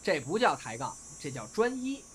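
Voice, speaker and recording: Man playing a lively, cheeky young crosstalk comedian. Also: fairly high pitch, normal speed. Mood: playful teasing. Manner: theatrical, teasing, playful. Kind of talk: crosstalk comedy dialogue in audio drama. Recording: loud background noise.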